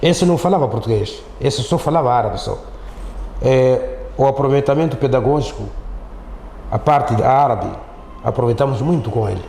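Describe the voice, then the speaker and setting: Man, Mozambican accent, mellow tone, interview guest, conversational storytelling, repetitive, roomy echo, faint background noise. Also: medium pitch, moderate pace, vocal fry. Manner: repetitive, often ending on tag questions. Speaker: interview guest, Islamic teacher. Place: echoey studio.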